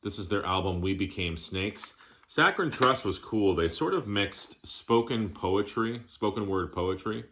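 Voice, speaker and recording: A man giving a casual show-and-tell of his collection, plainspoken, listing items in short, halting phrases. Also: on the low side, animated, fairly bright tone, slight background noise.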